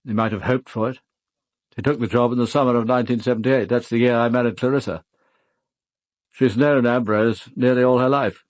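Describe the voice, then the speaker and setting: A middle-aged British gentleman and former officer, played by a radio actor, speaks with clipped restraint in a medium-pitched voice. He sounds guarded and burdened, in a close, dry recording. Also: steady tone, fairly quick, no echo, close to an everyday voice. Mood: grimly confessional. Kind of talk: radio drama acting.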